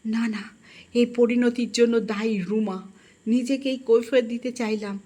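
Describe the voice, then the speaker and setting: A woman reads a first-person literary story in an expressive, melancholic and dramatic manner. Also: fairly high pitch, unhurried. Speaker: audiobook narrator.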